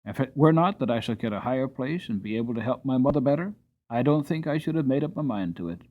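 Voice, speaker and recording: A man's voice: medium pitch, animated, fast, a little mellow, natural voice. A man voicing a wistful young groom. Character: young male groom, sad to leave home.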